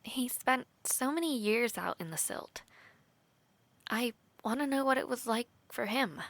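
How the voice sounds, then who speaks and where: Eaera, a gravelly bright woman voicing a young knight. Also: on the high side, animated, a little slow, no room sound, full character voice. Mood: wistful. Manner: soft, intimate, often whispery with light laughs and hesitant restarts. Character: young woman knight trainee, earnest and affectionate. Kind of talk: intimate audio roleplay.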